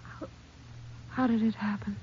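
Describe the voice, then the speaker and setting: A woman's voice: medium pitch, steady tone, measured pace, close to an everyday voice. An actress playing a polite, fragile wife and mother, hesitant and emotional, in 1950s radio melodrama style. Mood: stunned, shaken. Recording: muffled, noisy 1950s radio recording.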